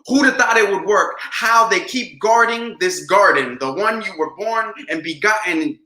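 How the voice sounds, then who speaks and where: A man with a high, very bright voice performs slam poetry in a rhythmic, dramatic way. His pace keeps shifting between rushed and drawn out. The sound is echoey, as from a room on a video call. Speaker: spoken word poet.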